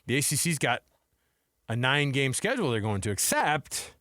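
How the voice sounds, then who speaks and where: A male sports radio host with a mid-pitched voice, recorded dry and close in a studio. He talks in a loose, informal, conversational style, chatting to listeners and his co-host like buddies. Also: big pitch swings, moderate pace, a bit bright, no room sound. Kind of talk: casual sports talk radio.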